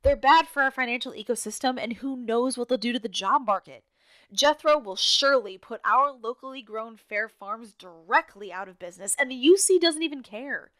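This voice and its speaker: A bright, forceful, high-pitched woman's voice, acted out by a woman as an opinionated radio co-host in an audio drama. She talks conversationally but with exasperation and sarcasm, like someone always ready to argue her case. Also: moderate pace, close to an everyday voice. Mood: indignant, rallying. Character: outspoken, indignant woman co-hosting a town radio show.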